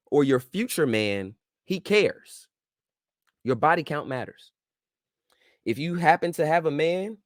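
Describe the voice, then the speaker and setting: A man's voice: on the high side, no room sound, studio quality. A man hosting his own show, giving casual, slang-filled advice in an emphatic, preachy way and talking straight to his listeners. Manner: informal slang-filled speech. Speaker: show host.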